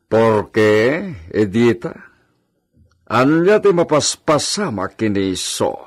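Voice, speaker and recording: An actor playing a curt, irritable master of the house in a radio drama, dismissive, with a Filipino accent. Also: male voice, medium pitch, very animated, slow pace, no echo, studio quality. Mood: irritated. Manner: brusque, dismissive. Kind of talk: Ilocano radio drama acting.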